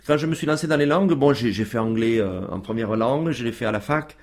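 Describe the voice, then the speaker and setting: A man's voice: mid-range voice, fast. A man as a guest on a radio show, talking casually with many fillers and restarts.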